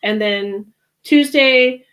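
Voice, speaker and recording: Slow-talking woman podcast co-host. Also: sits high, fairly bright tone. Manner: unhurried, many fillers. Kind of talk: casual chat.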